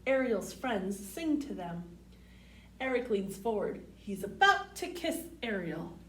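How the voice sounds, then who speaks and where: Woman, children's storytime reader, unhurried, expressive, simple asides and questions, distant microphone, faint background noise. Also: medium pitch, reverberant. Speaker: library storytime host reading picture books. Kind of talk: children's read-aloud with asides to young listeners.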